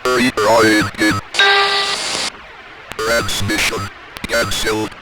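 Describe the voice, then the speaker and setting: An automated machine voice reading out transmission notices in a cold, impersonal, halting robotic manner. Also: medium pitch, over loud music. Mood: impersonal, emotionless. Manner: flat, halting, robotic phrasing. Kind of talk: automated scripted announcement.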